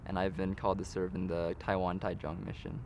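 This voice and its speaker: A man's voice: deep voice, monotone, fairly quick, a little mellow, slight background noise. A young man from Utah, a missionary, speaking sincerely with small hesitations in an interview. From Utah, USA. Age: young adult.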